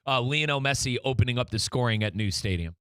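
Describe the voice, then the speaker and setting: A man's voice: mid-range voice, lively intonation, fast talker, background noise. A man hosting a soccer talk show in a casual, opinionated and emphatic way. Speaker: soccer show host and radio play-by-play commentator.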